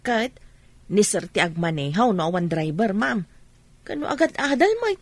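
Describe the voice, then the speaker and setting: A woman's voice: medium pitch, very animated, normal speed, no room sound. A woman with an Ilocano accent playing a curious, deferential housemaid in a radio drama.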